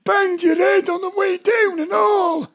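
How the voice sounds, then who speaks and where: A woman playing an excitable, colloquial drinking companion in a comic audio drama. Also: extremely high pitch, measured pace. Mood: alarmed, scolding. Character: Bob, earthy excitable drinking companion at the pub.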